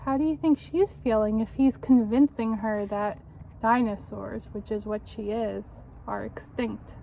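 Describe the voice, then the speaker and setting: A woman with a fairly high voice reads a picture book aloud for children, taking it very slowly. She is expressive and encouraging, like a teacher checking that young listeners follow along. There is some light noise behind her. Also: gravelly, rough recording.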